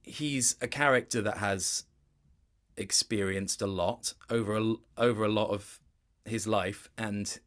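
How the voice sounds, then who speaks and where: A man's voice: lowish voice, fairly even intonation, unhurried, fairly bright tone. A man speaking as a guest voice actor in an interview, explaining his work reflectively in stop-start phrases. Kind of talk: interview chat about performance work.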